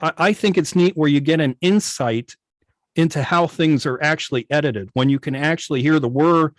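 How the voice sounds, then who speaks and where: Higher-voiced man explaining in a dry recording. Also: moderate pace, no echo. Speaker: guest, old-time radio station operator. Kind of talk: casual explanatory discussion. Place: online video meeting.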